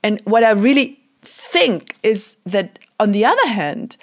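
A woman hosting an interview in English, her second language after German, often searching for words and filling pauses with "you know". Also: medium pitch, very animated. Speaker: podcast host. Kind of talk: conversational interview.

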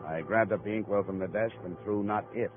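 Deep-voiced hard-boiled radio detective played by a man. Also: fairly quick, music in the background, close to an everyday voice. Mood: wry, tense. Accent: American. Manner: terse, hard-boiled, deadpan. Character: tough, wry American private investigator narrating his case. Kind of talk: 1950s radio detective drama acting. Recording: muffled old 1950 radio broadcast recording.

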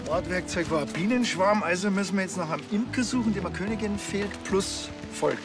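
A man's voice: sits high, brisk, music in the background. An actor playing a grumpy, sarcastic village policeman in a TV comedy, with a Bavarian accent and a dry manner. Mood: businesslike, warning. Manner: dry, sardonic. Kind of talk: naturalistic TV comedy dialogue.

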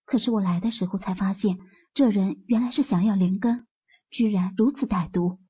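Audiobook drama part: earnest, anxious young wife woman actor, very husky, mellow tone. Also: mid-range voice, moderate pace, highs cut off. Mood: anxious, indignant.

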